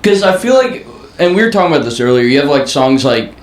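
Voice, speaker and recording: A man's voice: fairly high pitch, sing-song, quick pace. A man hosting a casual interview, talking eagerly and leaning on slang like "bro" and "dude". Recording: echoey room with faint hiss.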